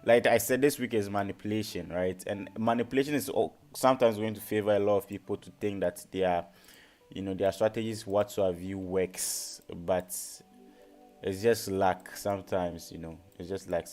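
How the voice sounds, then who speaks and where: A man's voice: fairly low pitch, steady tone, moderate pace, darkish tone, soft background music. A man hosting a live trading lesson, repeating his phrases and often checking in with his listeners. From Ghana. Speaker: forex trading educator and live stream host. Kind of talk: live stream teaching, market analysis.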